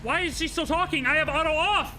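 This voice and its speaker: A man's voice: very high-pitched, brisk. A man streaming a game, giving casual live commentary in a blunt, reactive, baffled way.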